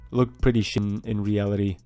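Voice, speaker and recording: Man rambling casually, mellow low voice. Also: little pitch movement, fairly quick, clear, resonant tone, faint music behind. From Austria. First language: German. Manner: rambling, self-deprecating, chatty. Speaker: artist vlogger. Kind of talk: casual voice-over while drawing.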